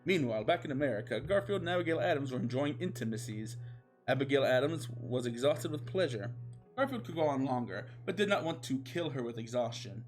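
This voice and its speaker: A man's voice: mid-range voice, animated, brisk, faint music behind. A man narrating comic fan fiction in a mock-dramatic way. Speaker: storyteller, show host.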